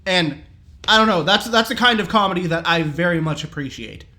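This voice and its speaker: A man giving a casual, enthusiastic review in an animated, jokey, slangy way. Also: high-pitched, a little fast.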